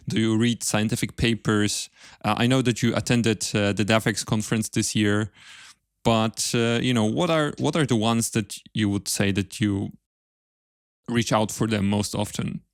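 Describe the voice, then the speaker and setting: Guy hosting a tech podcast, fairly low voice, close dry sound, casual questions. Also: no room sound. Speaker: podcast host, interviewer. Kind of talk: casual technical interview chat.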